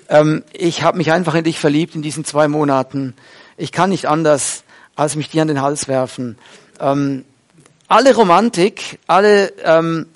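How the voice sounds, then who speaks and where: Earnest man preaching, slightly high voice. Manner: earnest, explanatory, frequent fillers. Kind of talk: sermon, Bible exposition.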